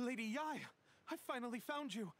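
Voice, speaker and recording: A man's voice: extremely high pitch, normal speed. Jittery polite male employee in a game, hesitant, bit of background noise. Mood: nervous, apologetic. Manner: hesitant, deferential, nervous. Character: anxious, deferential publishing house employee. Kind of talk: video game voice acting. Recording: faint background ambience.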